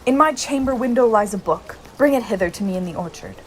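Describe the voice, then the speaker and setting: A woman's voice: fairly high pitch, expressive, fast talker, a little hiss or hum, natural voice. A woman playing a scornful, witty bachelor soldier in a Shakespearean radio play, musing theatrically.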